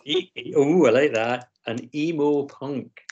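Male teacher, hesitant and filler-heavy, middle pitch, slightly muffled video call. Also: a little slow, a little mellow, slightly rough. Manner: hesitant, frequent ums and restarts. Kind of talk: online class discussion.

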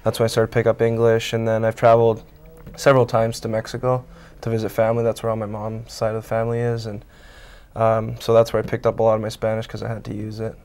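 A man's voice: lowish voice, quick pace, smooth. A young man in his twenties from Canada, a college hockey player answering interview questions casually in a small TV studio, with lots of "um" and "I mean.". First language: Italian. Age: 20s. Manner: relaxed, many fillers like um and I mean. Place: small local TV news studio, indoors. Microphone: lapel mic.

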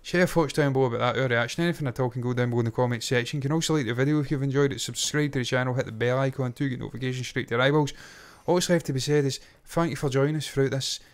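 A man's voice: mid-range voice, racing, few pauses. A Scottish man in middle age talks as a reaction show co-host. He is casual and blunt, swears freely, and speaks close to the mic in a small home studio. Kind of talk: casual review chat. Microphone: close microphone on boom arm.

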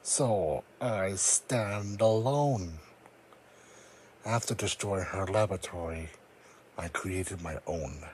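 A man voicing a brooding, proud cloned psychic creature in an audio roleplay, speaking solemnly with dramatic, deliberate pauses. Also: sits low, a little hiss or hum. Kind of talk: scripted ASMR audio roleplay monologue.

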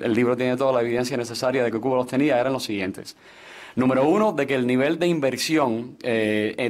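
A man's voice: mid-range voice, a little mellow. Young man answering, hesitant, roomy and distant. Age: young adult. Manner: hesitant, many fillers and restarts. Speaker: book co-author on a panel. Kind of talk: explanatory panel answer. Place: conference room, seated at a panel table. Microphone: table stand mic.

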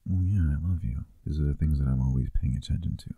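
A man playing a playful, affectionate boyfriend in an intimate ASMR roleplay, teasing softly and conversationally, close to the microphone. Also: extremely low pitch, quick pace, breathy edge, dry recording, narrow, tinny audio.

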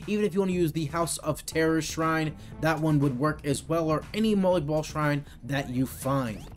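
A young man explains a game setup in an informal, slangy way. His voice sits a little higher than most men's, and music plays behind his commentary. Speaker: gaming video host. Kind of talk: casual game tutorial commentary.

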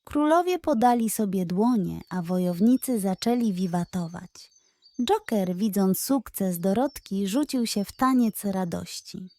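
A woman's voice: mid-range voice, animated, unhurried, mellow. A woman narrating a scripted children's story as the storyteller.

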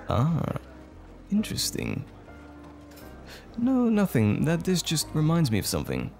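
A man playing a gentle, bashful romantic date in an ASMR roleplay, speaking tenderly and a little hesitantly. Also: fairly low pitch. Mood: warm, courteous. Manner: tender, hesitant, lightly chuckling flirtation. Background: music.

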